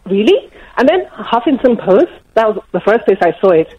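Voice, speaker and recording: A woman's voice: mid-range voice, very animated, a little mellow, a little hiss or hum. Upset Nigerian-accented woman calling in. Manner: emotional, upset, talks in rushes with many restarts. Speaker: caller. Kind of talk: radio phone-in call. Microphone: phone. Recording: muffled phone line.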